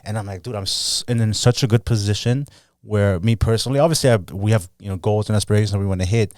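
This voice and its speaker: Man in his thirties, chatty podcast co-host, quick talker, lowish voice, dry sound. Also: a little mellow, no echo. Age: 30s. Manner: upbeat, chatty, says "you know" and "dude" a lot. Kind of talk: casual motivational chat.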